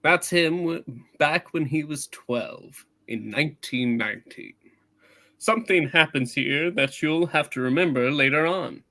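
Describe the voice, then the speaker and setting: A man playing a kindly, authoritative superintendent of angels in a comic radio play reading, with a measured, halting delivery. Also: fairly high pitch, sharp tone. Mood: explaining.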